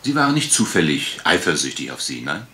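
An actor playing a politely relentless English gentleman detective in a German radio crime drama, questioning with insinuation. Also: a bass voice, sing-song, fairly quick, full character voice. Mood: insinuating, pressing.

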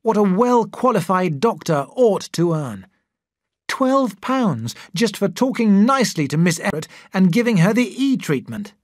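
An ambitious young doctor, eager and quick to bristle, as a male reader voices him. His voice lifts a little above the narration and moves with lively inflection. Dry, close recording. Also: high-pitched, dry recording, pitched above the performer's own voice. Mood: excited. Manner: eager, defensive.

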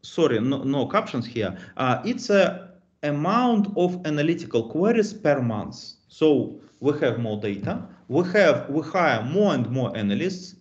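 Man giving a halting technical talk. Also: fairly high pitch, big pitch swings. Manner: halting, many filler sounds, non-native grammar. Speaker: meetup speaker. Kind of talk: conference talk on data modeling. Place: indoor room, video call. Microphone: computer mic on a video call. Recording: slightly dull video call audio.

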